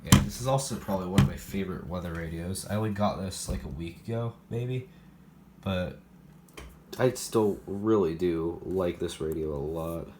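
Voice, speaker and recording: Male hobbyist presenter, low voice, casual demo style, thinking out loud, clearly noisy recording. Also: normal speed. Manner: rambling, thinking aloud. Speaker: collector presenting weather radios. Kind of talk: casual product demo vlog.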